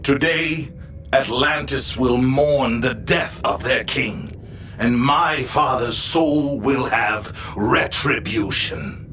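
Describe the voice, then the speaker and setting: A man's voice: medium pitch, slow, sharp tone, distant mic, a little hiss or hum. A man playing a vengeful armored supervillain in a video game, declaiming with theatrical menace. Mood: vengeful, menacing. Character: vengeful armored supervillain out to avenge his father. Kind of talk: dramatic video game acting.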